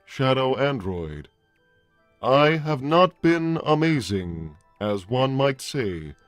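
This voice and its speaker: A man playing a formal robot peace consul with stilted, deliberate phrasing and a wounded, bitter temper, in a livestreamed roleplay. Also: mid-range voice, dry recording. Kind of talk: improvised character roleplay on a livestream.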